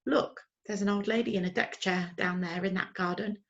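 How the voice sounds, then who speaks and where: A woman voicing a patient, tired mother barn owl who speaks in a calm, soothing but firm way. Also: medium pitch, moderate pace, lo-fi sound, close to an everyday voice.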